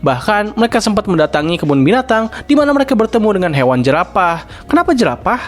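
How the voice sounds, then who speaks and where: A man's voice: sits high, sing-song, fast. A young man with an Indonesian accent narrating a scripted cartoon recap, with bits of casual slang. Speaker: video host and narrator.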